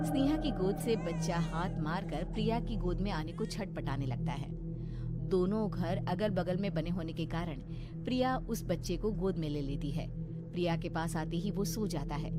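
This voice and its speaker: A woman storyteller giving a dramatic, scripted narration of a story. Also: on the low side. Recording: music behind the voice.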